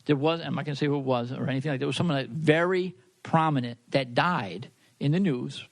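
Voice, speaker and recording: A man co-hosting a podcast in casual chat, telling stories haltingly and often interrupting himself. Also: mid-range voice, vocal fry. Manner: halting, self-interrupting, informal storyteller. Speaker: podcast co-host.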